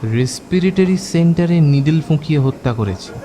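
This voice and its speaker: Man playing a thoughtful detective, slightly high voice. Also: lively intonation, moderate pace, warm tone, natural voice. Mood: thoughtful, probing. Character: male private detective, thoughtful, decisive, terse. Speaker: voice actor. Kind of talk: audio drama acting in detective story. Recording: music under the voice.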